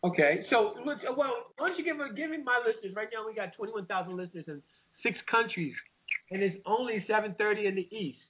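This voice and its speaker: Lively high-voiced radio host on a phone line. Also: male voice, a crowd in the background. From Washington, D.C. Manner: lively, chatty, quick interjections. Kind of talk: casual radio interview.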